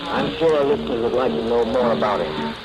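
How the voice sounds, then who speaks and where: A man speaking formally in a scripted, measured way on a 1940s radio broadcast, an old recording. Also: mid-range voice, sing-song, highs cut off. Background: loud music.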